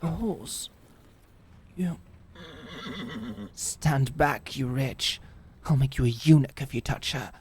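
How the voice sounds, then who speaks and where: Defiant lady knight, slow and measured woman acting. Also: a bass voice, a little mellow, slight background noise. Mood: protective, threatening. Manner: taunting, theatrical, formal archaic phrasing. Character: defiant, sardonic lady knight protecting her princess lover. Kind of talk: medieval fantasy audio roleplay acting.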